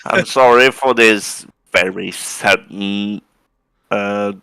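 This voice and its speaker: A man playing a tabletop roleplay game, talking in a halting, hesitant way. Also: fairly low pitch, steady tone, vocal fry, pushed and bright, clear, resonant tone, dry recording. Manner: halting, hesitant, many restarts. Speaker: player. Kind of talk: casual tabletop roleplay chat.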